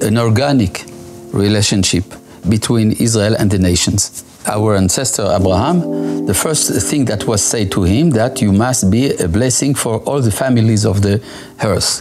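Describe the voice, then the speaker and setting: A rabbi speaks slowly and carefully in a documentary interview, pausing as he explains. His voice is in a middle pitch, and faint music plays behind him.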